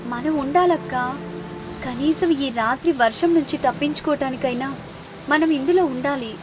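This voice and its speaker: Woman as a high-voiced, hopeful young village sister. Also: moderate pace, music in the background, close to an everyday voice. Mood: hopeful persuading. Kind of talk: moral story character voice.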